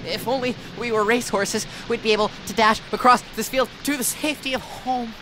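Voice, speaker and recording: Guy acting anxious stammery dancer dude, high sharp voice, easily baffled, comic sci-fi radio play. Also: fairly even intonation, fairly quick. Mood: amazed, anxious. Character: excitable, bewildered dancer brother, turned racehorse. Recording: faint background noise.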